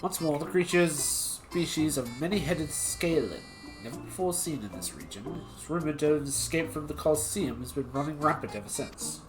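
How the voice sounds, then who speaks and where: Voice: mid-range voice, expressive, normal speed, over music, lightly acted, rough recording. A streamer voicing a prim, haughty guild weaver who speaks in a formal, dry, condescending way. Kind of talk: reading game dialogue aloud on stream.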